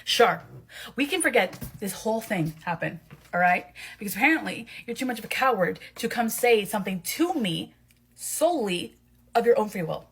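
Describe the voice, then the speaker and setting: A woman playing a proud, wounded young man in an amateur audio drama, blunt, emphatic and sweary, with a husky voice. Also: mid-range voice, animated, normal speed, a bit bright, poor audio. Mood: hurt, scornful.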